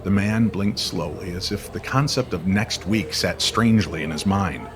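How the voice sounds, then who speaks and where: A man reading scripted story narration in an old-time radio style, measured and dramatic. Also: low voice. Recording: music bed under the voice. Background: music.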